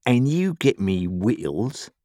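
Guy doing a menacing ragged convict for an audiobook, rough growly, bossy, rustic talk, swoopy pitch, close dry sound. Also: very animated, slow pace, warm tone, dry recording. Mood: threatening. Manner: threatening, rustic working-class diction. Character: menacing, ragged escaped convict man, brutal and commanding.

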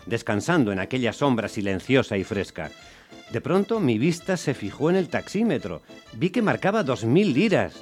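A man's voice: medium pitch, close to an everyday voice. An actor playing a weary, put-upon working-class taxi driver who tells his story in a colloquial, grumbling way in a radio drama. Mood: alarmed, rueful. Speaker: first-person narrator and lead actor. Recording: music under the voice. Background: music.

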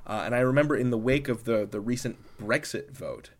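A man's voice: medium pitch, brisk. A man working as a podcast host, asking questions in a casual conversational way. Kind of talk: casual interview.

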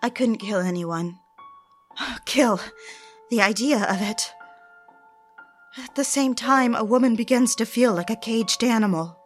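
A woman playing a brooding, isolated wife in an audio drama monologue, halting and pensive, unsure of herself. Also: a little high, soft background music, natural voice. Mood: brooding, uneasy. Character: brooding, isolated married woman, growing obsessed and uncertain.

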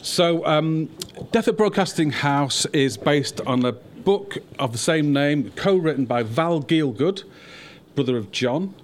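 A man's voice: a little high, expressive, measured pace, a little hiss or hum. A man hosting a podcast and film event with wry, self-deprecating humour. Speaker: podcast host.

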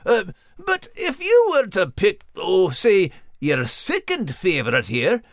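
A man's voice: high voice, wildly swooping pitch, takes it slowly, creaky, dry recording, studio quality, pitched above the performer's own voice, heavily acted. A man voicing an eager, folksy little dog with a Scottish accent and an ingratiating way of talking. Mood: wheedling.

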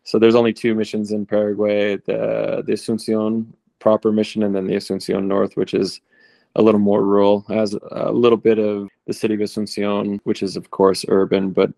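A man in his late thirties, a returned missionary, telling stories in a relaxed, rambling interview style. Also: lowish voice, moderate pace, gravelly, dry recording. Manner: relaxed, rambling with fillers and restarts. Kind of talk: conversational interview storytelling.

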